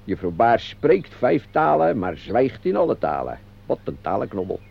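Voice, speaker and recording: A man's voice: mid-range voice, sing-song, moderate pace, vocal fry, breathy edge, heavily acted. An actor playing a chatty, teasing man who mutters wry asides in a radio play, recorded muffled and narrow-band. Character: chatty teasing man courting a silent woman outdoors. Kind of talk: Dutch radio play acting.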